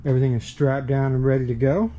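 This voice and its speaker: A man's voice: mid-range voice, fairly even intonation, fast. A man in his fifties vlogging casually to an action camera on his motorcycle trip, easygoing and self-mocking as he talks between rides and at gas stops. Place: outdoors, riding a motorcycle and at gas stations. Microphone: action camera mounted on the bike. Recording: loud wind and road noise. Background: loud road and engine noise.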